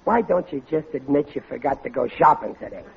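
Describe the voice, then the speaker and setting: A man's voice: a little high, animated, brisk, gravelly, a crowd in the background. An actor playing a blustering, thickheaded American husband and father who speaks in folksy bad grammar, in 1940s radio sitcom style. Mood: indignant. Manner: folksy, mangled grammar, indignant. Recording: dull, noisy old radio recording.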